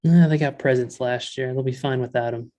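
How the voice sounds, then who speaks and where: A man's voice: mid-range voice, fairly even intonation, brisk, mellow, natural voice. A man playing a blunt, dismissive, jaded character in a comedic table read.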